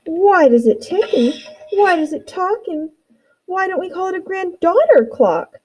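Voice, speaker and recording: A woman's voice: very high voice, very animated, measured pace, a little mellow, pitched above the performer's own voice, full character voice. A woman voicing a curious three-year-old girl in a storybook, asking questions in an eager, wondering way.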